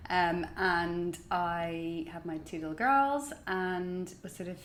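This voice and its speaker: A woman's voice: fairly low pitch, takes it slowly, slight background noise. An Irish woman in her forties, a magazine editor being interviewed at home, talking in a chatty, self-deprecating way with many restarts. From Ireland. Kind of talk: casual interview chat. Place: large high-ceilinged room in her house. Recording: echoey room.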